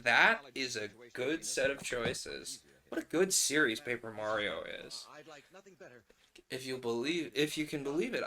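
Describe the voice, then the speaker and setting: A man's voice: medium pitch, bright, forceful tone. A man streaming casually, chatting and thinking aloud as host while he works at a craft.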